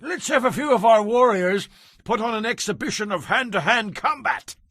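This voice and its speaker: A man playing an ancient army commander in an audio Bible drama, loud, urgent and declamatory. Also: high-pitched, lively intonation, moderate pace, no echo, clean studio sound. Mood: bold challenge. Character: ancient army commander, forceful, exasperated battlefield shouter.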